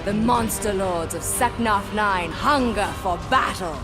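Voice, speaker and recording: A woman's voice: mid-range voice, unhurried, music in the background, natural voice. A woman playing a bombastic, bloodthirsty alien warlord in a video game, making theatrical, commanding proclamations. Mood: fierce, gleeful. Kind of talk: grandiose video game villain acting.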